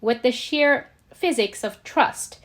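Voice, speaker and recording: Slow-paced, higher-voiced woman reading a story. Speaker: amateur audiobook reader of fan fiction. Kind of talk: scripted story narration with acted dialogue.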